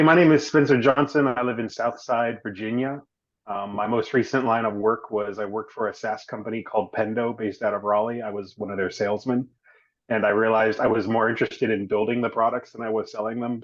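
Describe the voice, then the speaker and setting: A man giving a clear, organized self-introduction to an online class over a video call. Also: on the low side, steady tone, fairly quick. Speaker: student. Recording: dull lo-fi call audio.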